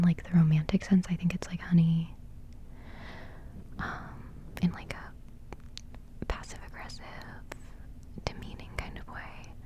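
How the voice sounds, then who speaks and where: A young woman whispering a relaxed music reaction in ASMR style, giggling softly and rambling with plenty of fillers. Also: lowish voice, muffled recording. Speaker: ASMR creator reviewing music.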